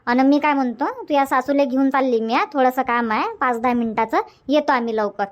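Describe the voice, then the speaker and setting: A woman's voice: high voice, a little fast, dull audio, natural voice. A woman voicing a bossy, chatty cartoon village auntie with a Varhadi Marathi accent, insistent and repeating herself. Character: bossy, sociable village auntie organizing her friends. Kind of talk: cartoon comedy dubbing.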